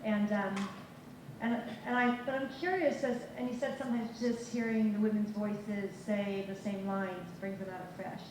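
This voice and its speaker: A woman's voice: medium pitch, steady tone, moderate pace. Woman in the crowd asking a question, lots of ums, noisy room. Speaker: audience member asking a question. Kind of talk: question from the floor. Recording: echoey hall from far off, noisy.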